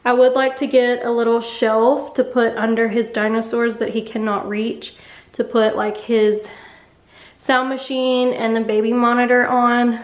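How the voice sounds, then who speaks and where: A woman's voice: fairly high pitch, little pitch movement, measured pace. A young woman casually narrating a walkthrough of her home as she films it, talking in a chatty, offhand way. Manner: chatty, offhand, trails off mid-thought. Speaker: vlogger giving a home tour. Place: rooms of a mobile home. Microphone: handheld camera while walking.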